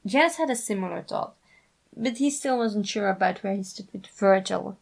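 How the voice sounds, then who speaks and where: Woman, story narrator, creaky voice, mid pitch, scripted fiction reading. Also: moderate pace. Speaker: fan fiction writer and reader.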